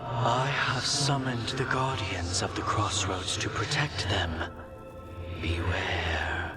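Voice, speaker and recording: A man's voice: lowish voice, fairly even intonation, takes it slowly. An actor playing a solemn, commanding protector in a fantasy video game, declaiming his warnings. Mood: stern warning. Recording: loud game music behind the voice.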